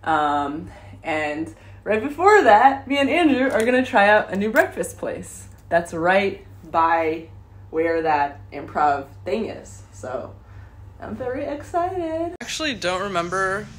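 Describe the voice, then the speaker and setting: A young adult woman chatting casually to camera in a rambling, halting way, often trailing off. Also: medium pitch, sing-song, a bit bright. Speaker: vlogger. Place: various spots on the go in Bali. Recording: noisy ambient phone-style vlog recording.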